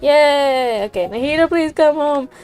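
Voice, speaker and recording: A woman game streamer chatting casually in a halting, giggly, playfully dramatic way. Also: high voice, darkish tone, faint music behind. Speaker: game livestreamer. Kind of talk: casual live gaming chat.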